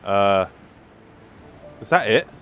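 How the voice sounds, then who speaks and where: Bright-voiced man doing game commentary. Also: fairly low pitch, animated, takes it slowly, a little hiss or hum. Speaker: gaming streamer. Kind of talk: casual let's-play commentary.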